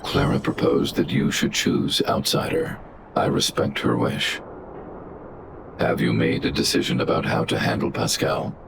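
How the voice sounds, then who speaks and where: A man voicing a large, protective robot in a video game, speaking in a formal, measured, unemotional way with a gravelly quality. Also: wildly swooping pitch, background noise, heavily acted. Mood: calm, logical. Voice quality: very deep, gravelly. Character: large protective male robot, calm, coldly logical. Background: background music.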